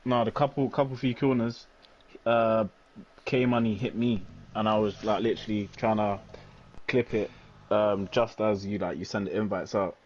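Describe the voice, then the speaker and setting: A man's voice: lowish voice, steady tone, normal speed. A man racing driver recounting his race in a hesitant, rambling way with lots of fillers. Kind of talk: casual post-race chat. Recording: muffled voice chat with faint hiss.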